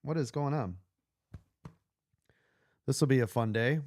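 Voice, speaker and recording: A man's voice: medium pitch, a little slow, a little mellow, dry recording, studio quality. A man in his fifties hosting a casual livestream, chatty and upbeat, talking to viewers while he is losing his voice. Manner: upbeat, chatty, addresses viewers as "you guys". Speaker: livestream host and trading coach. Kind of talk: casual livestream sales pitch.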